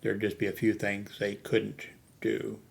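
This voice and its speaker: A man with a low voice and a flat, even delivery talks casually as a hobbyist vlogger. He rambles without a script, halting and searching for his next thought. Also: vocal fry. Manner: rambling, halting, often losing his thread. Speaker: amateur radio hobbyist hosting a video channel. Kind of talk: casual unscripted vlog talk.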